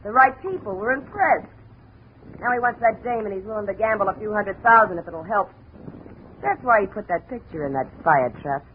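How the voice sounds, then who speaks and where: A woman's voice: mid-range voice, lively intonation, moderate pace, natural voice. A woman playing a tough, sardonic American burlesque performer with a grudge, in a 1950s radio detective drama. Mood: bitter. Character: brassy, jaded female burlesque performer, bitter scorned girlfriend. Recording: dull, hissy old radio recording.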